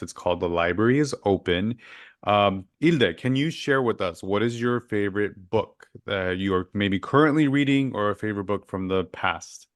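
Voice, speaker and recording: A man's voice: on the low side, a bit bright, no echo, studio quality. A man hosting a podcast interview, upbeat and chatty, asking questions and affirming his guest. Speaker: podcast host. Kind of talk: casual interview chat.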